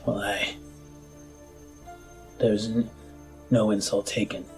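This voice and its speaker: A man playing a solemn, earnest politician burned and bound to a chair, with a damaged, breathy rasp and formal, measured speech. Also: on the low side, flat delivery, soft background music. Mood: courteous, humble. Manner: slow, measured, formal oratory.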